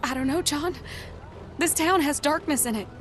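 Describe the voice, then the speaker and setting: An actress playing a guarded, soft-hearted working girl in a video game, with a folksy Southern American drawl. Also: high voice, normal speed, a little hiss or hum. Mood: anxious, sorrowful.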